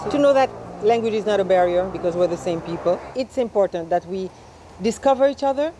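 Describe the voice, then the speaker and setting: A Haitian-born woman speaking earnestly in an interview, stressing key words and slowing down on them. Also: mid-range voice, mellow, slight background noise, poor audio. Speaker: founder of a cultural society. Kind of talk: interview answers.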